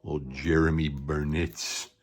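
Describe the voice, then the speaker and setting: A middle-aged man with a gravelly, husky voice rambles casually and haltingly as he hosts a show-and-tell vlog, letting phrases trail off. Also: very deep voice, little pitch movement. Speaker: YouTube channel host showing a card collection.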